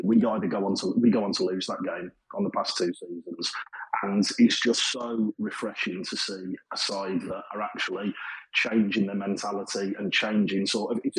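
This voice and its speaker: A man's voice: on the low side, breathy edge, dry recording, low-quality recording. A man with a Birmingham accent, calling in to chat about a football match. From Birmingham, England. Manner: chatty, rambling football fan. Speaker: caller. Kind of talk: casual chat.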